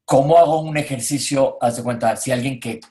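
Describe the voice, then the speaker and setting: Man, Mexican accent, average pitch, informal co-host, colloquial, relatable, conversational. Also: fairly quick, warm tone. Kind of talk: casual conversational talk.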